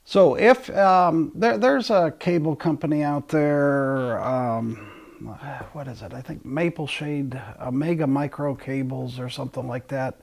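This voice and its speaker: Gravelly, high-pitched man chatting casually. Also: unhurried, darkish tone. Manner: rambling, often restarting sentences mid-thought. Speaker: host of an audio channel. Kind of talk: casual talk to listeners.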